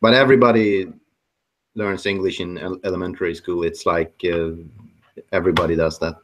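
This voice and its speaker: A man's voice: low-pitched, fairly even intonation, brisk, noisy background. A man from Sweden with a Swedish accent, chatting casually as a guest and sometimes searching for English words. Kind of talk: casual chat.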